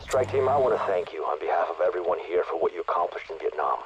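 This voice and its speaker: A man's voice: low-pitched, animated, fast. A man playing a stern, earnest special operations leader briefing troops over the radio in a game. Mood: resolute, urgent. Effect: radio transmission. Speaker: commanding officer. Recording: muffled radio with faint noise.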